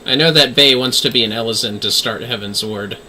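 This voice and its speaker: A man's voice: mid-range voice, fairly quick, sharp tone, slight background noise, rough recording. A man livestreaming a game with casual, off-the-cuff chat.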